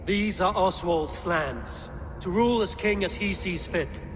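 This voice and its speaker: An actor playing a stern, proud and resolute Viking warrior in a video game, speaking with grave command. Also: male voice, high voice, unhurried, fairly bright tone, slight background noise. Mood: grave, firm. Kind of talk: video game dramatic acting.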